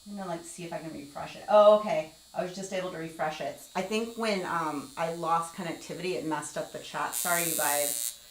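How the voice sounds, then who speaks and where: A woman's voice: fairly low pitch, fairly even intonation, brisk, reverberant, slight background noise, poor audio. A woman in her forties hosting a live skincare stream, chatting casually while she explains each step and reads the chat aloud. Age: 40s. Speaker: skincare livestream host.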